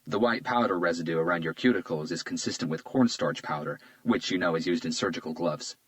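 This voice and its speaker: A man's voice: low voice, lively intonation, a little fast, gravelly, no room sound. A male narrator voicing an observant, understated detective who talks in a modest, matter-of-fact way.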